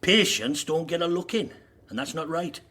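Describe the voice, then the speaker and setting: A man's voice: on the high side, expressive, normal speed, bright, forceful tone. An actor playing a blunt, fervent socialist miners' union man in a radio drama, speaking persuasively. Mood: forthright. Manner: forthright, rhetorical, persuasive.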